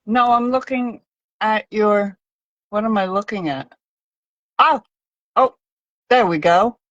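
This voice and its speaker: Slow, chatty woman hosting a craft stream. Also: mid-range voice, no room sound, lo-fi sound. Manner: chatty, reads out viewers' locations, halting with fillers. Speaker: host of a live craft stream. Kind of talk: casual live-stream chat.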